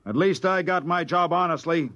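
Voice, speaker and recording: An actor playing a cantankerous, desperate older spaceship engineer, blunt and needling, in old-time radio drama style. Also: male voice, fairly high pitch, moderate pace, bright, forceful tone, no room sound. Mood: scornful, bitter. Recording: dry, dull lo-fi old radio recording.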